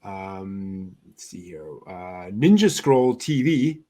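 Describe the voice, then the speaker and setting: A man hosting an anime news show, chatting casually through items with a somewhat halting delivery. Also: low-pitched, expressive, warm tone.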